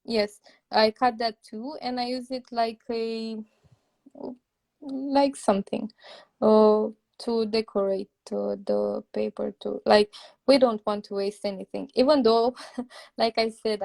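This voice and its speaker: A woman's voice: a little high, fairly even intonation, slow pace, vocal fry, darkish tone. A woman from Romania with a Romanian accent, a native Romanian speaker. She chats as a guest on a craft live stream, talking a lot, searching for English words and laughing often. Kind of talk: casual live-stream chat.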